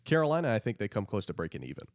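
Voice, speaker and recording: A man's voice: lowish voice, very animated, rapid-fire, dry recording, clean studio sound. A man co-hosting a podcast, chatting casually about sports. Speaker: podcast co-host.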